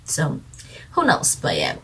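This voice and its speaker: A woman's voice: takes it slowly, poor audio. A woman chatting casually as she reviews a drama, rambling and speculating with lots of fillers. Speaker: drama reviewer, channel co-host.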